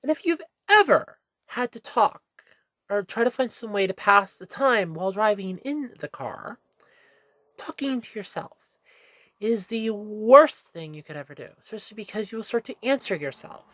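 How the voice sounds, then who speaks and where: A woman's voice: mid-range voice, lively intonation, moderate pace, fairly bright tone, no echo. A woman with a stuffy nose talking to camera in a candid, meandering vlog monologue, correcting herself as she goes, recorded in a room at home. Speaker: vlogger. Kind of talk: casual rambling monologue.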